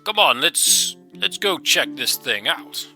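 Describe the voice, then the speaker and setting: A man performs a bombastic adventurer doctor from a comic audio cartoon. The delivery is theatrical and impatient, with a fairly high pitch that swoops around. There is music behind the voice. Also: very animated, slow, sharp tone, heavily acted. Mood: eager. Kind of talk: comic audio drama acting.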